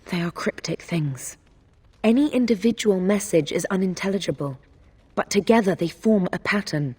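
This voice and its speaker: A woman playing a guarded, shrewd noblewoman informant in a fantasy game, speaking in a deliberate, confiding way. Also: on the low side, animated, a little mellow, slightly rough. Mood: secretive, grave. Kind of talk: scripted fantasy game dialogue.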